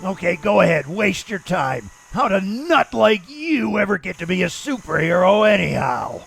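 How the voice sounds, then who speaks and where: A man voicing a menacing, sneering sand villain in a comic dub, with gravelly, taunting, slangy tough-guy delivery. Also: high voice, sing-song, normal speed, fairly bright tone, soft background music, exaggerated voice. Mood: irritated, sneering.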